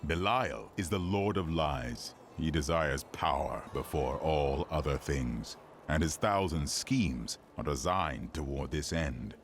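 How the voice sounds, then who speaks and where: Very deep, solemn fallen archangel. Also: male voice, a little hiss or hum. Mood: grave, urgent. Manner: grave, measured, commanding. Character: solemn fallen male archangel of justice, noble warrior. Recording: echoey with faint background ambience.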